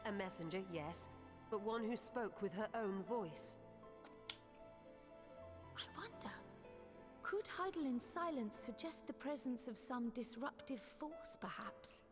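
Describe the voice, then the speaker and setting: A learned woman of a fantasy game world, composed and formal. Her diction is measured and old-fashioned. The voice rings with long reverb over clearly audible music. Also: on the high side. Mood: measured, thoughtful. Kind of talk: scripted video game voice acting.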